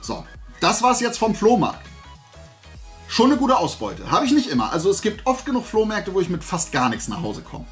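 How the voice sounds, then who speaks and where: A man's voice: high-pitched, wildly swooping pitch, normal speed, faint music behind. A man in his forties from Germany chatting casually to camera as a vlog host, in a colloquial, self-deprecating way. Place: small indoor room lined with collectible shelves.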